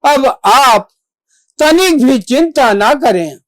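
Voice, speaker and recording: A stage actor performing a devotional monologue as a reverent, valiant divine monkey messenger, with formal, declamatory diction and dramatic pauses. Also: sits high, animated, no room sound.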